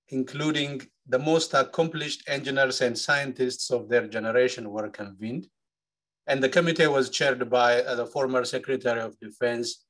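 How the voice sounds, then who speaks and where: A middle-aged man from Ethiopia, an engineering professor, presenting slides in a webinar in a measured way with frequent "uh" pauses. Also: medium pitch, fairly bright tone.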